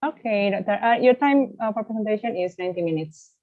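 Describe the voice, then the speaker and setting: A woman's voice: medium pitch, expressive, a little fast. Woman, webinar moderator, polite and formal, brief replies, thin phone-like audio. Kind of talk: hosting an online lecture. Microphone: video call. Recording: tinny, phone-like video call line.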